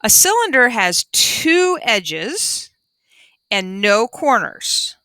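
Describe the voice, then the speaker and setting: A woman's voice: a little high, big pitch swings, drawn-out words, pushed and bright, dry recording, studio quality. A woman teacher reading a children's book aloud and adding simple explanations for young pupils. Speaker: primary school teacher.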